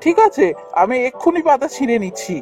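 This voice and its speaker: A man's voice: very high-pitched, lively intonation, fast, a little mellow, muffled recording, faint music behind. A man voicing a kind, earnest young prince in a fairy-tale cartoon. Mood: friendly, grateful.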